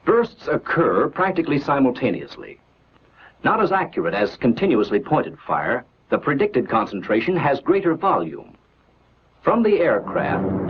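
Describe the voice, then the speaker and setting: A man narrating a scripted 1940s military training film in a measured, instructional, declarative manner on an old film soundtrack. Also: medium pitch, highs cut off, a little hiss or hum.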